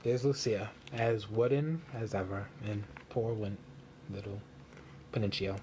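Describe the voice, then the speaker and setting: A man reading a storybook aloud for children in simple, deliberate phrases. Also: fairly low pitch, fairly even intonation, noisy background.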